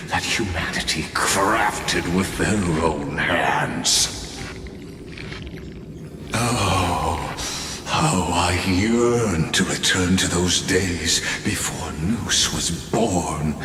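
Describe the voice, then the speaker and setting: An actor playing an arrogant, scholarly old machine villain in a video game, speaking in slow, theatrical, grandiloquent monologue. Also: male voice, low-pitched. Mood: cold, fervent, then wistful longing. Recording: echoey hall reverb, music behind.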